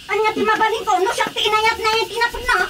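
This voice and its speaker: Quarrelsome village woman, extremely high shrill voice, taunting, radio drama, loud noise. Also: flat delivery, quick pace. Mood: boastful taunting. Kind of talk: Ilocano radio drama comedy acting.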